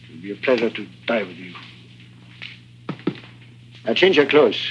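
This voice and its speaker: An actor playing a jovial but fatalistic Eastern European guide, wry and theatrical, in an early 1950s spy drama. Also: male voice, fairly low pitch, expressive, moderate pace, a little hiss or hum, close to an everyday voice. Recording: dull old 1950s soundtrack with music.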